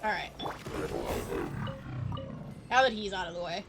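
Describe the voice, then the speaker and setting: A woman's voice: mid-range voice, measured pace. A woman game streamer giving casual, chatty live commentary to her viewers over game music. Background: video game music.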